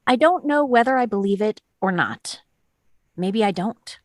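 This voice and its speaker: A woman playing a thoughtful, principled dissenting juror, measured and earnest, in a table read of a drama. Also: mid-range voice, lively intonation, dry recording, clean studio sound, lightly acted.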